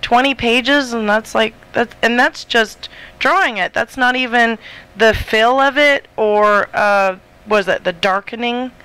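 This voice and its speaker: A woman's voice: on the high side, unhurried, sharp tone, clear, resonant tone, rough recording. A woman chatting casually in an informal, joking way, chiming in on a conversation. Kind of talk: casual chat.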